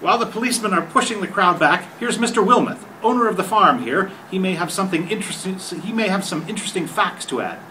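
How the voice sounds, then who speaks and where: An excited radio reporter, acted by an older man in an old radio play, high and bright-voiced. He narrates urgently and formally as an eyewitness, picked up from a distance with some noise. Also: fast, few pauses. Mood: composed, curious. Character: excited male radio reporter describing a crash scene live. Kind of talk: 1930s radio drama acting, read from a script. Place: hotel conference room, panel table. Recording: noisy phone-camera recording from a distance.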